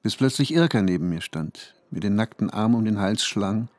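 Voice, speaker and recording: An actor playing a troubled, intense male scientist who narrates his own ordeal in measured radio drama style. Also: lowish voice, expressive, a little mellow, no echo, clean studio sound, close to an everyday voice. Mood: reflective, calm. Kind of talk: German radio drama, literary first-person narration.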